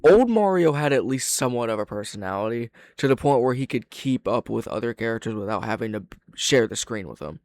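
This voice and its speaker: A man's voice: mid-range voice, expressive, brisk, few pauses, darkish tone, dry recording. Man giving dry, close-miked reaction commentary. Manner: opinionated, swearing. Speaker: reaction video host.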